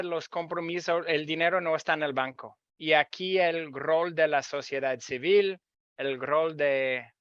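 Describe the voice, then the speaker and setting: A man with a Canadian accent speaking as a webinar panelist, animated and emphatic, often searching for words. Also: fairly high pitch, clean tone, dry recording, muffled recording.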